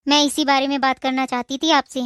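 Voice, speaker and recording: A woman's voice: high voice, level tone, brisk, no echo, studio quality. A woman voicing a caring mother-in-law. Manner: warm, motherly and reassuring. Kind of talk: Hindi cartoon dubbing.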